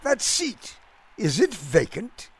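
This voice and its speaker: A man's voice: high voice, huge pitch swings, takes it slowly, breathy edge, pitched above the performer's own voice, exaggerated voice. A man voicing a naive, delighted young upper-crust Englishman, speaking with a lofty, clipped upper-class British accent and very polite, wordy phrasing. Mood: polite, tentative.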